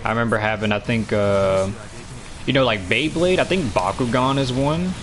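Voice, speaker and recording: A nineteen-year-old man with a deep voice, chatting casually while streaming a game, full of fillers and restarts. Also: moderate pace, fairly bright tone. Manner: rambling, many fillers and restarts. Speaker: gaming streamer.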